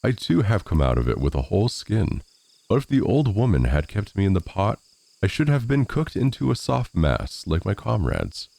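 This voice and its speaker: A man's voice: fairly low pitch, big pitch swings, normal speed, creaky, dark tone, no echo, exaggerated voice. A man voicing a prudent, sociable female bean in a storybook, speaking formally and wordily. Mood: relieved. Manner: formal, wordy storybook dialogue.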